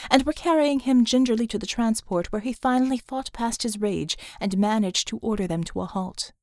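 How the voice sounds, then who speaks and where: A woman's voice: fairly high pitch, darkish tone, no echo. Woman narrating fiction, close and dry. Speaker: audiobook narrator.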